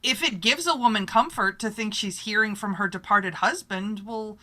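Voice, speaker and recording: A woman acting a brash newspaper photographer from an old-time radio play. Her voice is bright and forceful at a middle pitch. She talks in breezy slang and leans eagerly on her words. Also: normal speed, lightly acted. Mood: chatty.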